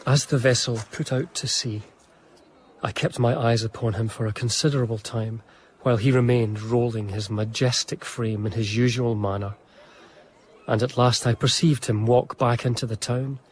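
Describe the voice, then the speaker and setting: A man playing an earnest young gentleman diarist, narrating a period radio drama in a measured, confiding, literary way. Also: medium pitch, fairly even intonation, slight background noise, natural voice. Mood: admiring, wistful.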